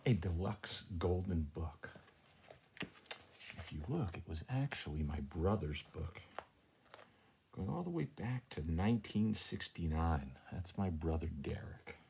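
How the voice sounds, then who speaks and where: A middle-aged man with a husky, breathy voice, reading a children's story aloud at home as a teacher, expressively, with chatty asides. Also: deep voice, very animated, slow pace, long pauses, mellow, slight background noise. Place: small room at home, indoors. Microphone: handheld tablet at arm's length.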